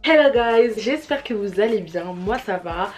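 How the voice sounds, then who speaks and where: A woman's voice: mid-range voice, animated, measured pace. A young woman vlogging at home to her camera, chatting casually about a series with lots of fillers and restarts. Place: home, family noises around. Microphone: camera mic.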